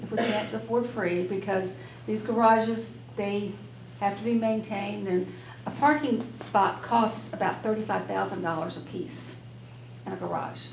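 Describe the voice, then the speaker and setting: A soft-spoken woman on city council, addressing residents at a public meeting in an earnest, persuasive way that rambles a little. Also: mid-range voice, steady tone, unhurried, creaky, a little hiss or hum. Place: public meeting room, indoors. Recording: echoey meeting room.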